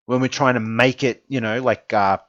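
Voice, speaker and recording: A man's voice: mid-range voice, fairly even intonation, normal speed, a bit bright, no echo, muffled recording. An Australian man of twenty-nine giving an informal opinion monologue, earnest and emphatic, repeating phrases for stress. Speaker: esports content creator giving an opinion piece.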